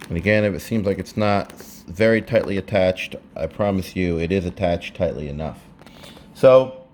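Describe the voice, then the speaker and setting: A man narrating a casual hands-on how-to, explaining step by step in a halting way, recorded indoors. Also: lowish voice, a little mellow. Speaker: presenter of a how-to video. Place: indoors, living room at a table. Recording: clear background room noise.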